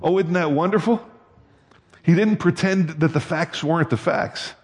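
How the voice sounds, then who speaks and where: A man's voice: a little high, moderate pace. A man giving a sermon in a conversational way, often checking in with the congregation with questions. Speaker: pastor. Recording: long hall reverb.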